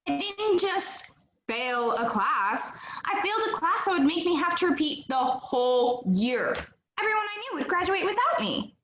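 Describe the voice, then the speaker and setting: A woman's voice: very high-pitched, lively intonation, normal speed, fairly bright tone, slight background noise, heavily acted. A young woman acting in a play as a dramatic, stubborn young woman who recounts her own past. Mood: indignant. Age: young adult. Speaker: student actor in a school play. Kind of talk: one-act play acting. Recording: dull, echoey room sound.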